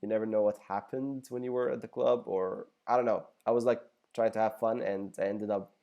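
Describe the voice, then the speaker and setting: A man's voice: fairly low pitch, fairly even intonation, fairly quick, lo-fi sound. A young man whose first language is Arabic, answering viewer questions in a casual, rambling way with joking asides. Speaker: gaming YouTuber. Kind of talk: casual Q&A video.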